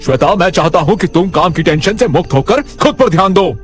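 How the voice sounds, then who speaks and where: A man's voice: high voice, lively intonation, fairly quick, soft background music, pitched above the performer's own voice. A man voicing a stern, dismissive doctor husband in a horror cartoon, firm and curt.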